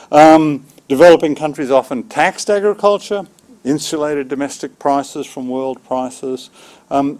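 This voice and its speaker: A man in his sixties giving an academic keynote lecture in a measured, halting way, in short phrases. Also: mid-range voice, darkish tone. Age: 60s. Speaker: keynote speaker, agricultural economics researcher. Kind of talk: academic seminar lecture from slides. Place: seminar room with small audience, indoors. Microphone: podium microphone.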